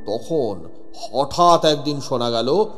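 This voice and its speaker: A man narrating a scripted horror story as a police inspector, theatrical and suspenseful with measured pauses. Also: mid-range voice, lively intonation. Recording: long reverb over music bed. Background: music.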